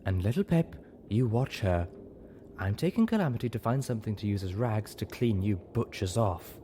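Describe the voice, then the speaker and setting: A man's voice: on the low side, expressive, moderate pace, warm tone, natural voice. Casting: male narrator as a haughty medic mare, commanding, slightly raised voice, storybook, some noise behind. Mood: bossy, scolding. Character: bossy, prim female pony medic.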